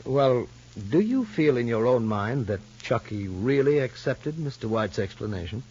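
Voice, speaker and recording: An actor playing a formal child psychologist in a radio soap opera, probing gently and deliberately. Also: male voice, medium pitch, lively intonation, slight background noise, lightly acted. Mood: calm, probing. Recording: muffled old radio recording.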